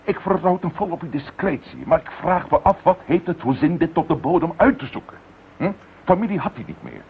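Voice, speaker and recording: A pompous professor, swooping intonation. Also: male voice, fairly high pitch, sing-song, fairly quick, phone-quality sound. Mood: pleading. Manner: pompous, circumlocutory. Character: genteel, indignant male professor of medicine, insinuating. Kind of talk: radio play acting. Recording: thin band-limited radio drama recording, slight noise.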